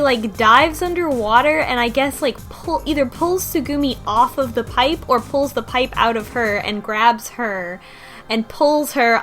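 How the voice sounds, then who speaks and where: A woman's voice: on the high side, a bit bright. A woman in a casual group discussion, animatedly retelling a story with lots of "like". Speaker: podcast guest.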